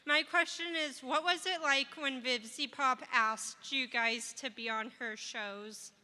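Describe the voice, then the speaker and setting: A woman with a high, very sharp and bright voice asks a panel a question. She speaks casually from the audience. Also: measured pace. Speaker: audience member asking a question. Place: convention hall.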